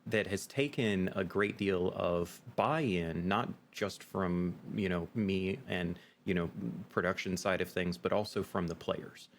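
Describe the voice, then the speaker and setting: Male podcast guest, game master. Very low voice, emphatic explainer, noisy background. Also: unhurried, hardly any pauses. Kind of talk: interview conversation.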